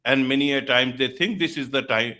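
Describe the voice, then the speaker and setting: A man's voice: medium pitch, fairly quick, pushed and bright. A man hosting an online interview show, speaking formally and repeating his welcomes. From India. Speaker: show host.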